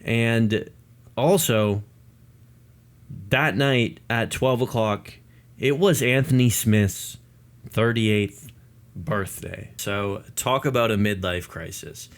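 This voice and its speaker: A man with a fairly low voice presents news commentary as an online host. He lays out the details for his viewers in the manner of a video update. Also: takes it slowly. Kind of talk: news commentary to viewers, part read statement.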